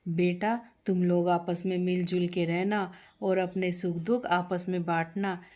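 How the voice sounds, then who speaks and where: A woman's voice: fairly low pitch, flat delivery, measured pace, a little mellow, voice pushed down, lightly acted. A woman voicing an older mother-in-law, the instructive matriarch of a big family in a story. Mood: proud, welcoming.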